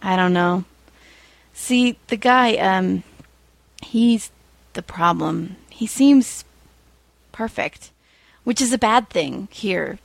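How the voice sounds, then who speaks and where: A woman's voice: mid-range voice, slow pace, gravelly, long pauses, no echo. A woman voicing a shy, insecure young man from a story, awkward and hesitant with stammering. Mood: glum, hesitant.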